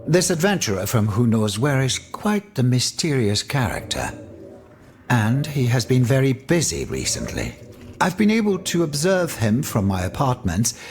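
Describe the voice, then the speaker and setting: A man's voice: mid-range voice, big pitch swings, moderate pace. An actor playing a flamboyant, indignant French nobleman in a video game, with a florid, declamatory manner. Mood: intrigued. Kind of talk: video game cutscene dramatic acting.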